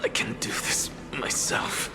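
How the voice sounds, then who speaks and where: A man voicing a soft-spoken, inquisitive scholar in a video game, with a breathy, measured, wry and musing delivery. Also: over loud music, natural voice. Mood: curious, murmuring. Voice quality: breathy, whispery. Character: soft-spoken, inquisitive male scholar, amused and unafraid of death. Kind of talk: English video game voice acting.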